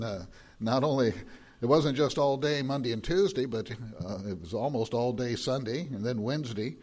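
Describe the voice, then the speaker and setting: An older man, a pastor, with a slightly raspy voice, talking to his congregation in a rambling way, with many pauses and filler words. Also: mid-range voice, a little mellow. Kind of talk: sermon announcements.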